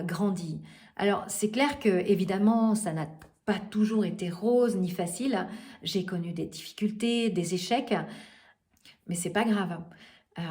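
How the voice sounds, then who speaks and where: A middle-aged woman coaching her listeners in a motivational talk. She speaks earnestly but haltingly, pausing often on fillers and restarting her sentences, at an ordinary middle pitch. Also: darkish tone. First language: not French. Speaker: life coach.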